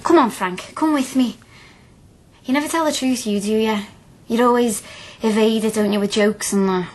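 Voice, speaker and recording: An actress playing an eager, cheeky young working-class Liverpool hairdresser and student in a radio drama, chatty and blunt. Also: female voice, a little high, moderate pace, a little mellow, natural voice. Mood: coaxing.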